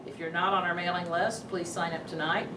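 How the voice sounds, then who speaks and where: A woman's voice: on the low side, level tone, a little fast. A woman hosting an event, making announcements and introducing the organizer in a chatty way. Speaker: host of the venue. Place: meeting room with audience. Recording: roomy, distant mic, background noise.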